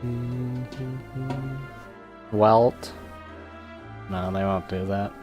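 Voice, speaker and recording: A livestreamer talks casually in a middling pitch at a very slow, halting pace. The speech sounds like thinking aloud at a computer, with faint music and keyboard typing behind it. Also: darkish tone. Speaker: game streamer. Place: indoors at a computer.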